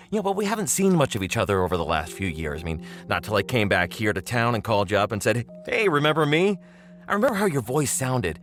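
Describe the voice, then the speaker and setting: An actor playing a chummy old pal with veiled menace in an audio drama monologue, talking straight to the listener in a rambling, needling, familiar way. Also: male voice, mid-range voice, fairly bright tone. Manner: conversational, rambling, chuckling, pointedly familiar.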